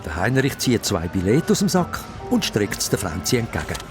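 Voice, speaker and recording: Animated Swiss German male narrator. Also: lowish voice, brisk. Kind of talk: animated children's story narration. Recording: music behind the voice.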